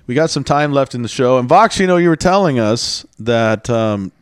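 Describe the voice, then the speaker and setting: A man's voice: mid-range voice, expressive, moderate pace, clear, resonant tone, no echo, studio quality. A man hosting a radio show with informal, rambling, joking banter. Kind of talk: casual live radio banter. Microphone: close studio radio mic.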